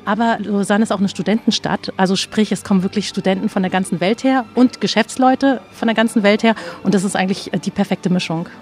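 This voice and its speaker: A woman's voice: medium pitch, darkish tone. A middle-aged woman from Berlin working in tourism, chatting eagerly in an interview on an outdoor terrace. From Berlin, Germany. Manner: chatty, enthusiastic, many fillers. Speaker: tourism office employee. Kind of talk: casual interview.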